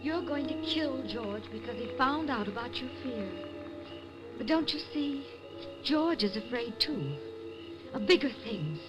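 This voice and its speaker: A woman's voice: on the high side, lively intonation, unhurried, music in the background, rough recording. An actress playing a desperate sister begging a gunman to stop, in a 1950s radio drama. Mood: pleading. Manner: earnest, pleading. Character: pleading sister of the man under threat.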